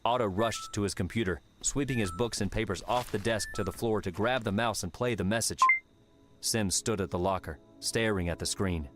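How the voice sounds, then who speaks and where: Low-voiced man narrating an audiobook. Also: normal speed, music in the background. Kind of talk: dramatic scripted narration.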